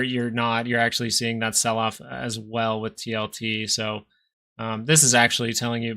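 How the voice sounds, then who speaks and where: A man's voice: mid-range voice, pushed and bright. A man in his thirties hosting a live stock market stream, chatting casually between chart analysis and answers to viewer questions. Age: 30s. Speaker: stock market livestream host.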